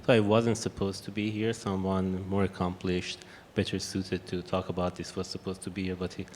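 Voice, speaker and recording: A man with a strong Persian accent talks on a conference panel, low and steady in pitch. He hesitates often and restarts his sentences. The sound carries the long echo of a hall, with a faint hiss behind it. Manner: halting, many restarts and fillers. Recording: echoey hall with faint noise.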